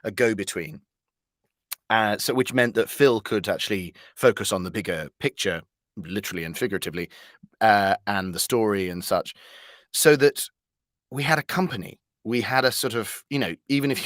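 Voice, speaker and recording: A British man giving a conversational interview as a voice actor, telling anecdotes in an animated, halting way with self-corrections. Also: fairly low pitch, no echo, studio quality.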